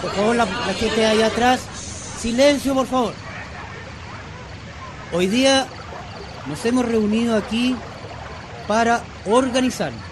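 A man's voice: very high-pitched, unhurried, pauses often. A man playing an earnest Chilean community leader in a radio drama, exhorting and pleading like an orator at an assembly. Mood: rallying. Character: earnest male community leader of poor shantytown dwellers. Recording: noisy recording with crowd clamour behind.

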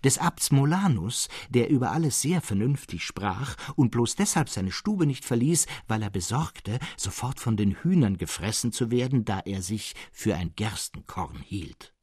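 Male narrator for classic fiction: husky, very animated melody, measured and articulate, dramatic delivery. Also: lowish voice, no echo, clean studio sound.

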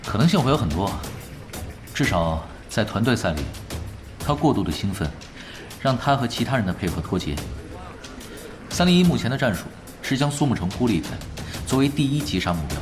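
An actor playing a calm, knowing young veteran gamer in a Chinese radio drama, talking in an unhurried, wry way. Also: male voice, mid-range voice, music in the background, natural voice. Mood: analytical, confident.

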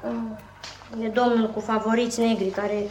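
A woman's voice: fairly high pitch, level tone, darkish tone, echoey room, slight background noise, natural voice. A woman playing a cheeky, affectionate small boy in a 1950s Romanian radio play, pert and pleading. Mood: watchful. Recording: 1950s radio recording, background voices.

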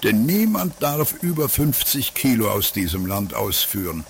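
A man's voice: lowish voice, very animated, unhurried, gravelly, full character voice. A man playing a dry, bureaucratic customs officer in an amateur comedy radio play. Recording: slightly noisy 1980s radio play tape.

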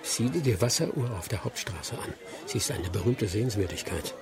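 Actor as a composed aide amid street bustle. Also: male voice, on the low side, animated, a little fast, far from the mic, a crowd in the background, natural voice. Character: calm, careful imperial official's aide, travelling incognito. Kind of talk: radio drama acting.

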